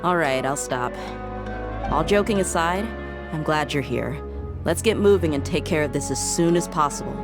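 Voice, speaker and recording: A woman's voice: low-pitched, lively intonation, normal speed, music in the background. An actress playing a confident, breezy young female mercenary in a video game, with a playful, teasing manner. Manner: playful, smooth teasing, self-assured.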